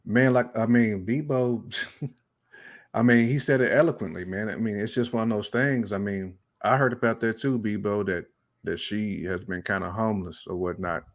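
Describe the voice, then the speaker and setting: A man's voice: on the low side, normal speed. A man on a podcast panel chatting casually in a rambling, joking, slangy way. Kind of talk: casual chat.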